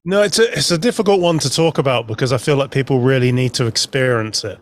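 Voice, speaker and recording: A man's voice: mid-range voice, fast. A man talking casually and reflectively as a guest on a podcast. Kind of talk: casual discussion.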